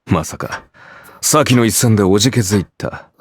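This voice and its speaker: A man playing a cool, sardonic servant spirit warrior in an anime game, speaking in a measured, taunting, formal way. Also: fairly low pitch, expressive, slight background noise. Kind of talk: Japanese anime game voice acting.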